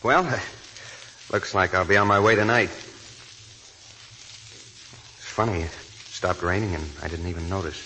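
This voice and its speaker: A courteous young lieutenant in a vintage radio play. His low voice has a bright, firm edge and a sympathetic manner, over faint broadcast noise. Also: normal speed, long pauses, rough recording. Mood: subdued. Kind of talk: 1940s radio drama acting.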